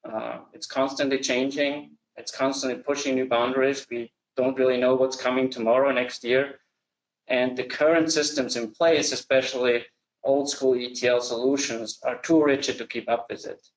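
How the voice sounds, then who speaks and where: Middle-aged austrian guy pitching software, deliberate and steady, roomy echo around him. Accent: Austrian German. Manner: measured, slows to stress key phrases. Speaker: company founder presenting. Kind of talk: business presentation.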